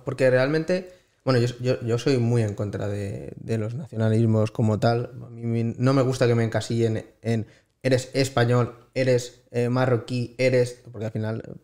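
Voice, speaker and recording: A man's voice: medium pitch, dark tone. A man co-hosting a podcast, talking earnestly in casual conversation and building his points in lists.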